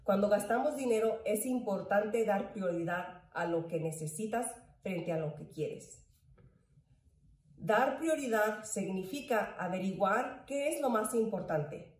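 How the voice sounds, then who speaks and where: A woman's voice: medium pitch, animated, unhurried, darkish tone. A woman in her thirties giving an educational presentation to camera from a room at home. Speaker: employee volunteer presenter. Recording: echoey room, distant mic.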